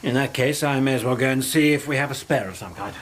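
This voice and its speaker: A man's voice: medium pitch, fast talker, a little hiss or hum, close to an everyday voice. An actor playing a terse, businesslike secretary and henchman in a radio drama, clipped and matter-of-fact.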